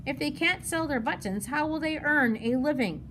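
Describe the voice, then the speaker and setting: Earnest, inquisitive girl of ten, played by a woman, high voice, storybook reading, noisy background. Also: lively intonation, moderate pace, fairly bright tone. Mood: worried, questioning. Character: earnest, curious ten-year-old Danish girl. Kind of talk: children's novel read-aloud. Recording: noticeable background noise.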